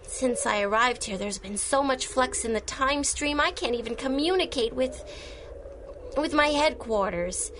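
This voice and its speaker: A plucky young radio superhero girl, highish voice, earnest, some noise behind. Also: lively intonation, unhurried. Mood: earnest, urgent. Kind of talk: radio drama acting.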